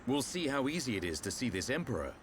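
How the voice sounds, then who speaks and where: A man playing a calm, knowledgeable warrior and mentor in a video game, explaining things in a measured, assured way. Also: mid-range voice, slight background noise, natural voice. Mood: explanatory. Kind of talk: video game cutscene acting.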